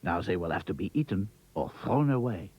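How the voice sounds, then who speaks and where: Man putting on a fussy french chef, a bit husky, swooping voice. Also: low voice, big pitch swings, fairly quick, lo-fi sound, full character voice. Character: fussy male toy chef.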